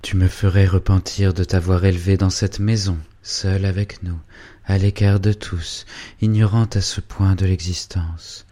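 A man's voice: low-pitched, level tone, measured pace, dark tone. A man reading a storybook voicing a severe, anxious middle-aged mother who scolds her daughter. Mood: reproachful.

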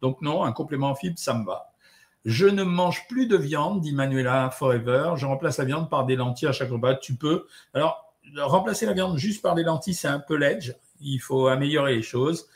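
A man's voice: fairly high pitch, normal speed. A middle-aged man, a doctor answering viewer questions in a casual, chatty way, with many fillers. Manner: relaxed, chatty, lots of "euh" and "hein". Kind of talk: casual live Q&A, reading out comments.

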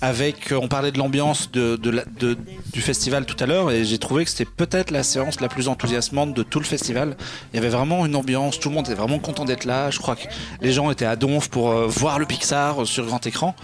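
Man, podcast co-host, casual film chat, slangy, searching for words, mid-pitched voice, light music underneath. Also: fairly even intonation, a little fast. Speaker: podcast co-host reviewing films.

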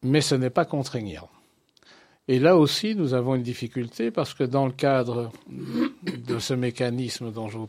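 A man answering interview questions as a guest, speaking in a formal, deliberate and articulate way. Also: mid-range voice, a little mellow, no room sound. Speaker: interview guest, association president.

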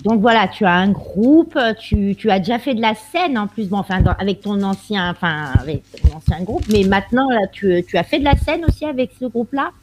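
A woman's voice: mid-range voice, lively intonation, fairly quick, hardly any pauses. A woman hosting a casual interview in a chatty, effusive way. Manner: effusive, laughs easily. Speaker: interviewer, host. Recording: noisy recording with clicks.

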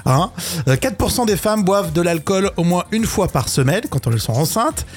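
A man hosts a relaxed studio radio show, running quiz games and chatting with his co-host. His voice is a touch high and very animated, rising and falling as he talks and laughs. Soft music plays faintly behind him. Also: moderate pace. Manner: lively, laughing often, chatty.

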